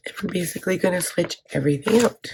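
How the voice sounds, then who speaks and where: A woman's voice: lowish voice, fast. A woman with a scratchy voice chatting casually to her channel, with frequent fillers and restarts. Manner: chatty, filler-heavy, self-correcting. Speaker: planner vlogger. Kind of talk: casual chat.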